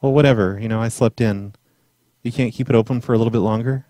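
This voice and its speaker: A man making a prank phone call as an earnest caller, talking in a hesitant, rambling, deadpan way. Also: mid-range voice, fast talker, no room sound, clean studio sound. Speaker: prank caller and podcast host.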